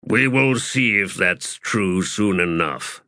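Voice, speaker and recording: A man voicing a strict, severe army officer with a rough voice, speaking slowly and dryly. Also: on the low side, lively intonation, bright, forceful tone, no room sound, heavily acted. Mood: stern. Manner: slow, dry and severe. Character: stern strict older male army officer.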